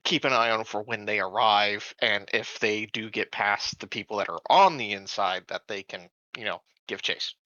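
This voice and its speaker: A man's voice: fairly low pitch, normal speed, hardly any pauses, bright, forceful tone, no room sound, muffled recording. A man playing a tabletop roleplaying game, casually chatting through tactics.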